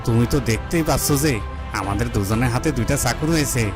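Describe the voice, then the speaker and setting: Guy doing sneering fairytale robber, normal male pitch, mocking and menacing, music under it. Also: fast talker, natural voice. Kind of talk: Bengali fairy-tale cartoon dubbing.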